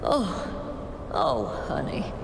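A kindly old lady from a fantasy game, worried and motherly. She speaks slowly with lilting, tender swings of pitch. Her voice rings in a reverberant space over music. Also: background noise, heavily acted. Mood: tender urging. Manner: tender, sighing, slow and expressive.

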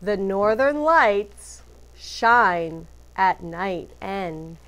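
Woman, teacher, children's read-aloud, animated pitch, slow pace, deliberate emphasis. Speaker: art teacher reading aloud to classes. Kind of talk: picture book read-aloud.

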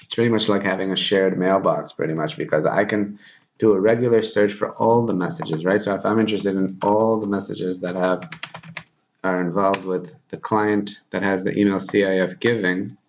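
A man in his thirties presenting a software tutorial informally, thinking aloud as he demonstrates at his computer. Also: deep voice, moderate pace, gravelly. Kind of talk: casual software screencast tutorial. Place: small plain room indoors. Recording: dull lo-fi audio, background noise.

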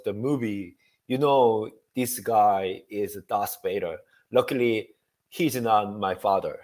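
Upbeat man giving a tech talk. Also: medium pitch. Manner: upbeat, halting with many fillers. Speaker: guest speaker. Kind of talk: technical presentation. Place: home room.

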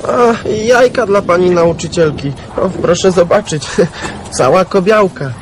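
Shy schoolboy, high and hesitant; radio play, hiss behind. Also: male voice, measured pace. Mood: sheepish. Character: young village schoolboy, shy and evasive.

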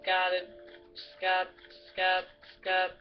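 A woman rambles slowly and flatly through a gaming session, crude and giggly. Her voice comes through a dull, lo-fi recording with loud music behind it. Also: lowish voice. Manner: giggly, crude, silly and meandering. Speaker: gamer. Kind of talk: rambling gameplay commentary.

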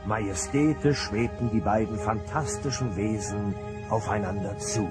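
A man narrating a radio play as a calm, solemn storyteller with a deliberate delivery. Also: on the low side, loud music behind the voice, natural voice. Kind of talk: scripted narration.

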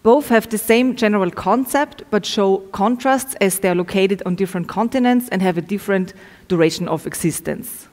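Young female researcher, careful and slightly halting academic talk, mid-pitched voice in a reverberant room. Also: darkish tone. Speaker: researcher presenting study findings. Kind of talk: prepared academic presentation. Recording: echoey, reverberant room sound.

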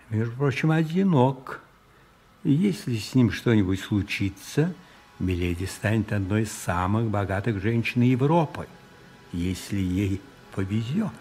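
A powerful, scheming cardinal in a radio drama. His voice is lowish and gravelly, and he speaks very slowly and deliberately, with commanding calm and savoured pauses. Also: a little hiss or hum. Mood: sly, relishing. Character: scheming powerful cardinal, cold and calculating spymaster. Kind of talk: radio play acting.

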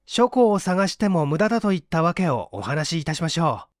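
A man voicing an earnest, quick-witted young male assistant who speaks urgently and politely in a dramatic story reading. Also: fairly high pitch, sing-song, dry recording, clean studio sound. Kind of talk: dramatic audiobook character reading.

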